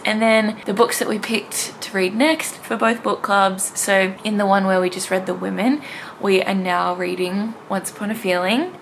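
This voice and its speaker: A woman's voice: mid-range voice, creaky, poor audio. A young woman talking casually to camera in a gushing, informal, rambling way, like a vlogger. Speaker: book vlogger. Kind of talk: casual chatty vlog to camera. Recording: noticeable background noise.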